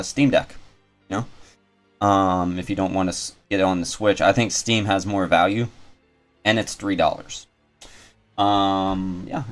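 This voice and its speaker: A man doing casual livestream game commentary, rambling and thinking aloud as he goes. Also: on the low side. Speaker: video game streamer and host. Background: game music.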